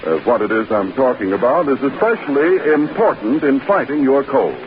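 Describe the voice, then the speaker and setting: A man with an American accent delivering a halting, fumbling old-time radio commercial as an announcer. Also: medium pitch, lively intonation. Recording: dull, faintly noisy vintage radio recording.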